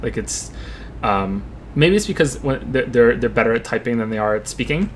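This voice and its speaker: Male streamer, mid-pitched, stop-start casual chat with frequent restarts. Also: expressive, slight background noise. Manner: halting, repeats words while thinking; plays a driving game while talking. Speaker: streamer answering viewer questions.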